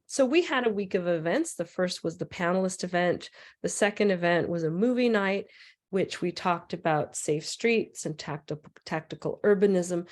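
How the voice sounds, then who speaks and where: A woman speaks in a somewhat low, raspy voice, hoarse from a cold. She gives an informal presentation in a loose, rambling way with plenty of fillers and restarts. She is heard through a computer mic on a video call. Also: a little mellow. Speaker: transit equity advocate presenter.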